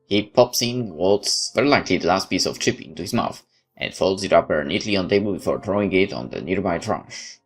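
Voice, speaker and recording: A man's voice: sits low, a little fast, soft background music. A man playing a game, reading the story aloud expressively and adding casual asides. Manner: expressive, theatrical. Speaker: let's player.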